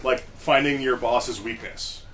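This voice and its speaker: A man's voice: medium pitch, measured pace, fairly bright tone, a little hiss or hum. A man speaking informally and giving his opinions as a panelist in a casual discussion. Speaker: podcast panelist.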